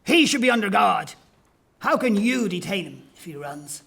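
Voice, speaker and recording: A man playing a menacing soldier who hunts fugitives in a period audio drama, taunting and mocking in a deliberate manner. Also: sits high, sing-song, vocal fry, bright, forceful tone, exaggerated voice. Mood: suspicious.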